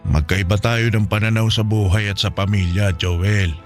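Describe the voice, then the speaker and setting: A man's voice: on the low side, fairly even intonation, moderate pace, soft background music, natural voice. A man playing a dutiful, stubborn middle-aged father in a radio drama, with a Filipino accent. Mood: firm.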